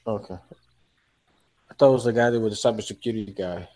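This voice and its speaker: A man's voice: fairly low pitch, normal speed, warm tone. Loose, interjecting male podcast guest. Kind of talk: casual political chat.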